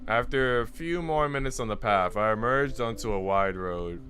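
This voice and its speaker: A man's voice: mid-range voice, normal speed, fairly bright tone. A man streaming a story read-aloud, with casual, slangy chat to his viewers. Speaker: streamer reading a visual novel aloud. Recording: music playing behind the voice. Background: guitar and instrumental music.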